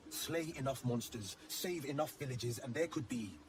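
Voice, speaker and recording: An actor voicing an earnest, haunted heroic warlock telling a tale in a brooding way, in a video game. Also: male voice, mid-range voice, expressive, moderate pace, background noise, natural voice. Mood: regretful. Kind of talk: dramatic video game monologue.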